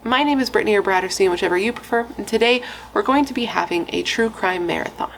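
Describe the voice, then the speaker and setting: A woman's voice: medium pitch, fast talker, vocal fry, a little hiss or hum, low-quality recording. A young adult woman, a pregnant true crime YouTuber, chatting playfully with dramatic asides and casual swearing.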